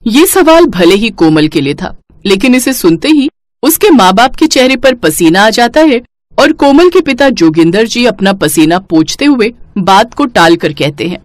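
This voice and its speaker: A woman's voice: on the high side, very animated, normal speed, no room sound, clean studio sound. A woman narrating a scripted moral story as storyteller.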